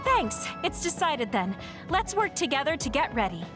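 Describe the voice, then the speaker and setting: A woman's voice: sits high, sing-song, normal speed. A woman playing an upbeat, persuasive young military major in an anime game dub. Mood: coaxing. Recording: music clearly behind the voice.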